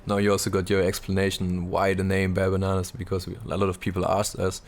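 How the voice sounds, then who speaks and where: Deep, gravelly, flat-toned young man. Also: fast, a little hiss or hum. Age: 20s. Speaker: podcast co-host. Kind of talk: casual chat.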